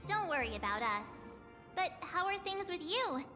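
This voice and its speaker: Very high, bright, perky girlish adventurer. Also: big pitch swings, normal speed, heavily acted. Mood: eager, prying. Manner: bubbly, inquisitive. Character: perky, nosy, girlish young woman adventurer. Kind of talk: scripted game character dialogue. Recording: long reverb, faint music behind.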